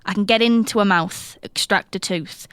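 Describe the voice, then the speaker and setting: A woman playing a creepy little bony man, bright-voiced. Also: medium pitch, smooth, no room sound, close to an everyday voice. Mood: boastful, sly. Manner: halting, wheedling, repeats himself. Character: creepy, defensive, bony little male dentist who loves teeth. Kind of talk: improvised comedy role-play.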